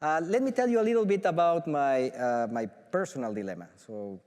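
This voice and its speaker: A man's voice: a little high, a little fast, darkish tone. Mexican-accented man in a big hall. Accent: Mexican Spanish. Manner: enthusiastic, filler-heavy with frequent restarts. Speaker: professor. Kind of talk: conference talk. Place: large auditorium.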